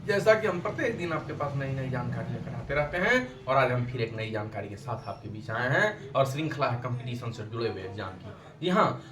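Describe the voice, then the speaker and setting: A man's voice: high voice, fairly bright tone. An Indian man teaching an exam-prep quiz lesson as host, speaking emphatically and repeating questions and answers for his students. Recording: echoey room with faint background noise.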